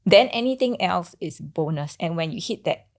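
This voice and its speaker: Low, creaky-voiced Singaporean woman. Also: expressive, moderate pace, a little mellow. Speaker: podcast co-host. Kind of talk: casual explanatory chat.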